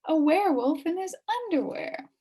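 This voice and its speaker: A woman's voice: extremely high pitch, expressive, moderate pace, dark tone, pitched above the performer's own voice. A woman voicing a goofy, cocky boy prankster in a costume for a children's storybook, with a teasing, playful manner. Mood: playful, pleased.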